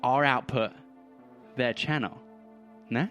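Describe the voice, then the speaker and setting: A man puts on a drugged-up radio rebel from a cyberpunk audio drama. He is fervent and rhetorical, forever speechmaking. His mid-pitched voice rides over a bed of music. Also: takes it slowly, fairly bright tone. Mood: fervent, scheming. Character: fervent drugged-up pirate radio host professor, male rebel. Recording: music behind the voice.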